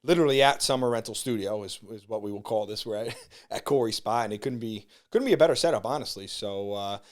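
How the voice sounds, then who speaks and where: A man's voice: fairly low pitch, fast. A man in his thirties hosting a casual podcast in a small studio, enthusiastic and full of superlatives. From New Jersey shore. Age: 30s. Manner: hyped, enthusiastic, talks in superlatives. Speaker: podcast host.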